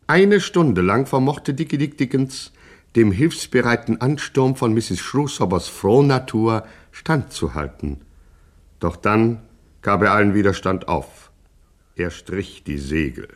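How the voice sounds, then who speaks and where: An actor playing the mock-epic narrator of a radio gangster satire. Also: male voice, fairly low pitch, expressive, unhurried. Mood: dramatic narration. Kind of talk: scripted radio drama narration.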